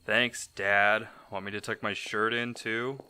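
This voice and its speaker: A man's voice: on the low side, little pitch movement, unhurried, bright, forceful tone, clear, resonant tone. A man acting in an audio drama as a cocky, flirty forger from a heist crew, with a theatrical, teasing delivery. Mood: smart-aleck.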